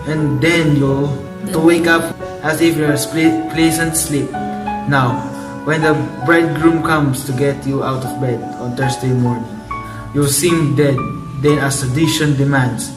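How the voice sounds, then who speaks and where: A wise, fatherly friar acted by a man gives grave counsel in a student radio play. The voice is somewhat distant from the mic and echoey. Music is clearly heard behind it. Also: a little high, fairly even intonation, measured pace, close to an everyday voice. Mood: instructing. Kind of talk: student radio drama acting of modernised Shakespeare.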